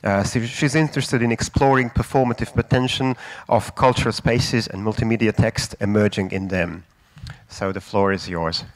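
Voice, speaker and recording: Halting male conference moderator. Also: fairly low pitch, steady tone. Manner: halting, many fillers. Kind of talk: introducing speakers at a conference.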